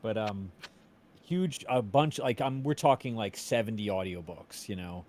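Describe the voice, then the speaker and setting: Low-voiced man in casual chat. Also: a little slow, darkish tone, a little hiss or hum.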